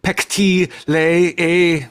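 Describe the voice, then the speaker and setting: A woman's voice: lowish voice, steady tone, drawn-out words, clean tone. A woman performing an incantatory chanter of nonsense syllables in an avant-garde radio play. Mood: ritual chanting.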